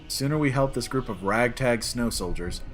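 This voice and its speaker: A man playing an excitable, bantering time-traveling alien in a comic audio drama, theatrical and mock-pompous. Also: mid-range voice, moderate pace, soft background music, close to an everyday voice. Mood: breezy.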